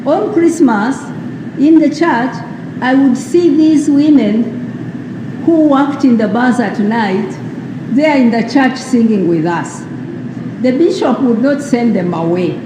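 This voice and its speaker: Woman speaker at a public ceremony, emphatic and rhetorical, noisy echoing PA. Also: fairly high pitch. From Uganda. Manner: slow, emphatic, rhetorical questions. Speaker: speaker at a World AIDS Day event. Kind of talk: formal public speech. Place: large hall at a public ceremony.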